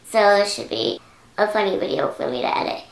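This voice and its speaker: A young woman vlogging to camera at home, hoarse and raspy from singing, chatting casually with lots of fillers. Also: medium pitch, fairly quick, reverberant, rough recording. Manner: chatty, rambling with many "like"s. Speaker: YouTuber. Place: indoor room at home. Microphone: camera close up.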